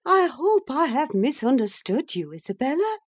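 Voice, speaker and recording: A woman's voice: high voice, very animated, normal speed, voice pushed up. A woman voicing an imperious, scornful young married woman in a storybook reading, declaiming with emphatic command.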